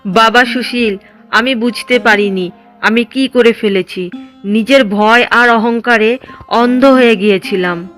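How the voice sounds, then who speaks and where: A woman's voice: fairly high pitch, a little slow, a bit bright, faint music behind. A woman playing a sly, jealous older village mother-in-law in a cartoon drama. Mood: pleading. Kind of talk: Bengali cartoon drama dialogue.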